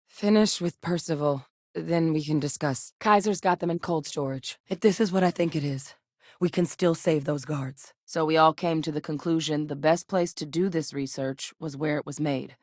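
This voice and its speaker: A woman voice actress playing a determined, principled soldier-scientist in a space adventure video game, speaking earnestly and conversationally with military briskness. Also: fairly low pitch, no room sound, studio quality, natural voice. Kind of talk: acted video game dialogue.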